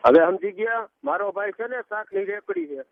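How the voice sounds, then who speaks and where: A man's voice: high-pitched, big pitch swings, normal speed, vocal fry, dry recording. A man with a Gujarati accent talking unscripted over the telephone, protesting and repeating himself. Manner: protesting, repetitive. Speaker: tuition teacher. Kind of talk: unscripted phone conversation. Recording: tinny, narrow phone line.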